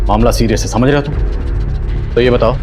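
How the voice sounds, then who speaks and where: Actor playing a stern police inspector. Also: male voice, medium pitch, a little fast, over music, lightly acted. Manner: commanding, probing. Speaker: police officer. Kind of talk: television crime drama acting.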